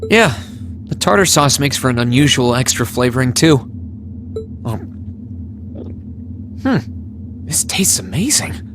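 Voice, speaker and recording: A man's voice: mid-range voice, expressive, measured pace, pauses often, natural voice. Man voicing an earnest, brooding young student, music behind. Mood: pleasantly surprised. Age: young adult. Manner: serious, insistent. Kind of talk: video game voice acting.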